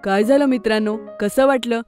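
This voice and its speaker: A woman voicing a lively, excitable young boy in a cartoon, talking in quick exclamations. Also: fairly high pitch, faint music behind, close to an everyday voice. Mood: excited.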